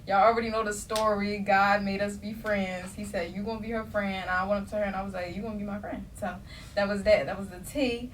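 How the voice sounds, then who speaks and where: A woman's voice: medium pitch, fairly even intonation, brisk. A young woman co-hosting a casual podcast chat, joking and playful in a slangy way. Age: young adult. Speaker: podcast co-host. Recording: distant mic with faint noise.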